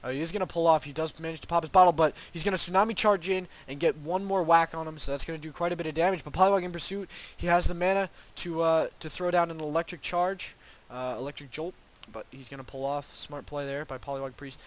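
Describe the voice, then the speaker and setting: A man's voice: a little high, fairly even intonation, fast talker, hardly any pauses. A young man with a cold, sounding congested, casting a video game match alone, rambling and hedging with many ums and restarts. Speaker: game caster. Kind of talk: solo play-by-play esports commentary.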